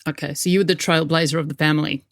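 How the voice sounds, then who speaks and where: A woman's voice: deep voice, fairly even intonation, rapid-fire, gravelly. A woman conducting a conversational interview.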